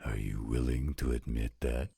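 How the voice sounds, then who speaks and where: A man voicing a gruff, patient older werewolf stepfather in a scripted roleplay audio drama. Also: very deep voice, fairly even intonation, dark tone, dry recording, full character voice. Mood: dry, gruff. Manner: gruff, dry, unhurried.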